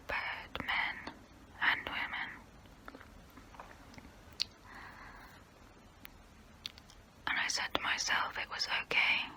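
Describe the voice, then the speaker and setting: A woman playing a tormented, confessional female vampire in an ASMR role play, whispering softly and breathily up close to the mic. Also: poor audio. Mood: guilty confession. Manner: slow, hushed, deliberate, pausing between phrases. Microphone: close-up microphone.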